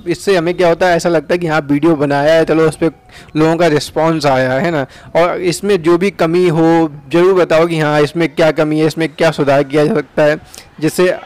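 A man's voice: on the high side, darkish tone, clean tone. A young man from India with an Uttar Pradesh Hindi accent, casually narrating a ride through town streets outdoors as a chatty vlogger. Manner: chatty, tag questions like "है ना".

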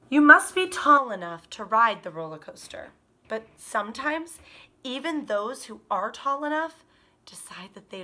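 A woman in her thirties, a kindergarten teacher, reading a picture book aloud to children in an animated, expressive way. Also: medium pitch. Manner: animated, expressive, drawn-out emphasis on words. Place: small living room by a fireplace, indoors.

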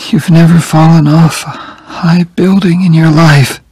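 A man voicing a frail, dying young woman who is tender and stubborn, in a breathy high whisper. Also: fairly even intonation, unhurried, dark tone, no echo, rough recording. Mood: teasing.

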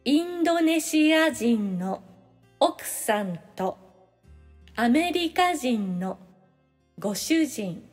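A woman reading scripted practice sentences for language learners, with clear, instructional phrasing. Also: high-pitched, very animated, very slow, long pauses, big-room sound, faint music behind. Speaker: language-lesson reader.

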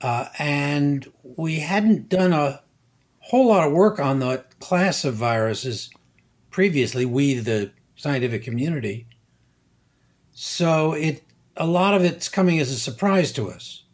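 Older male expert guest, very slow and deliberate delivery. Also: fairly high pitch, lively intonation. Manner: measured, halting, many fillers. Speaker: guest scientist. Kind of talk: explanatory interview answers.

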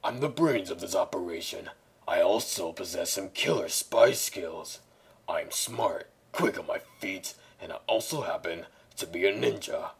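A man voicing a confident, clever cartoon ninja spy, quietly boastful. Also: low-pitched, lively intonation, measured pace, creaky, slightly rough, heavily acted.

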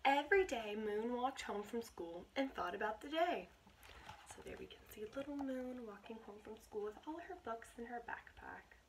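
High-voiced young American woman reading to children. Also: normal speed. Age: 20s. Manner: expressive, encouraging, addressing young listeners. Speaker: teacher reading aloud to children. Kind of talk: children's picture-book read-aloud with asides. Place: small bedroom indoors. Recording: clear background noise, home camera microphone.

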